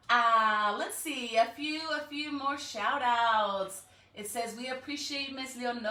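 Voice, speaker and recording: A woman's voice: high-pitched, bright, forceful tone, far from the mic. A woman hosting a school read-aloud, talking to young children in an encouraging way with frequent check-in questions. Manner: encouraging, checks in often with rhetorical questions and "right?". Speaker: elementary school staff member hosting a read-aloud for students. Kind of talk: addressing children on a live stream, then reading a storybook. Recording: roomy echo, away from the mic.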